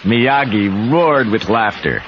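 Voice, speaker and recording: A man's voice: on the low side, expressive, takes it slowly, noisy background. A man narrating a scripted children's read-along story as the storyteller. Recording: dull cassette tape under music. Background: music.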